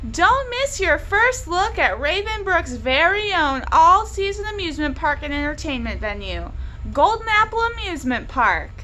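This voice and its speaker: A woman voicing a cheery female TV news announcer, drawing out a promotional pitch in a read-along story. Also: big pitch swings, normal speed, sharp tone, a little hiss or hum, exaggerated voice. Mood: upbeat, promotional.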